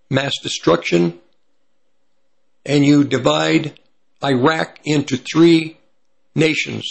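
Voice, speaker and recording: A man with a slightly raspy voice from hay fever, giving prophecy commentary as he reads from an article and stops to correct himself. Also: on the high side. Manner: pauses to correct himself mid-sentence.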